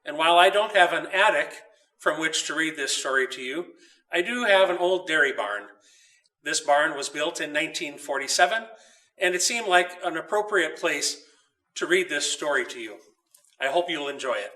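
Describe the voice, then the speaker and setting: A man reading a children's story aloud as its author, in a measured, deliberate way with pauses. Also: fairly high pitch, animated, sharp tone, recorded from a distance, poor audio. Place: old dairy barn.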